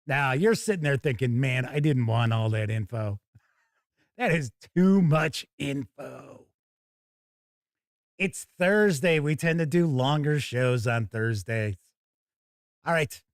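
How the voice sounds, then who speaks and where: A man's voice: medium pitch, slow pace, long pauses, no room sound, studio quality. A man hosting a live gaming news show, chatty and wry, moving between casual asides and reading out news copy. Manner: animated, wry, conversational.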